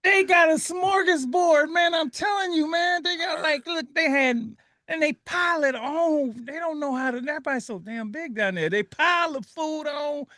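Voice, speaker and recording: A radio host from Liberia with a fairly high voice talks casually and animatedly, often breaking into laughter. His voice is close and dry, with no room sound. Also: normal speed, pushed and bright, no echo.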